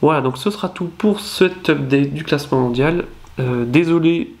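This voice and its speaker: A man's voice: fairly high pitch, moderate pace. A young man from France with a cold, hosting his own channel and reading through rankings in a casual, hesitant way, full of fillers and self-corrections. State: nasal voice from a cold. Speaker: channel host.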